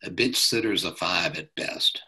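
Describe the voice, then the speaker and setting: A man playing a gruff old dad. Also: low-pitched, measured pace, bright, forceful tone, full character voice, low-quality recording. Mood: dry. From New York. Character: blunt elderly father, gruff and teasing.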